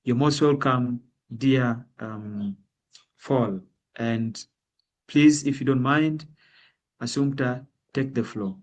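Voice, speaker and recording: A man moderates an event, reading out speaker introductions in a middle-pitched voice. He stumbles often, stopping on fillers and restarting words before he goes on. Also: slow. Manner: hesitant, many fillers and restarts.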